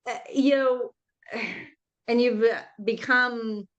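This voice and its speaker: A woman hosting an interview, asking long questions full of fillers and pauses. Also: a little high, fairly even intonation, fairly bright tone, dull audio. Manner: halting questions with many fillers. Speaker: interviewer, summit host. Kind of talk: conversational interview.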